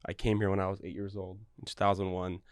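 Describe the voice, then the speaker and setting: Earnest young man, smooth low voice. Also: monotone, quick pace, no room sound, studio quality. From Ukraine. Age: young adult. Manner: earnest, rambling with restarts. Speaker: guest, real estate broker. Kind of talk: casual interview talk.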